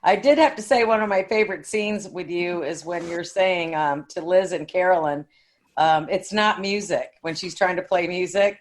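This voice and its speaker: A woman's voice: on the low side, normal speed. An older woman co-hosting in casual conversation, effusive and admiring as she talks. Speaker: podcast co-host.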